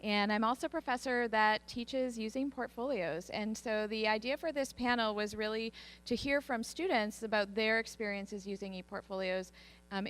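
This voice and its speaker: A middle-aged woman moderating a student panel in an upbeat, friendly way. Her voice sits at a middle pitch, and she drops in occasional fillers. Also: fairly bright tone. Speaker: moderator, professor. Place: conference hall at a college.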